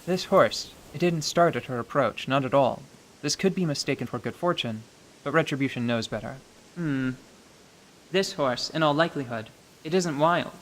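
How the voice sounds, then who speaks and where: A man narrating a fantasy radio play in a measured, dramatic storytelling style with musing asides. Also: mid-range voice, slight background noise, poor audio. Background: soft music.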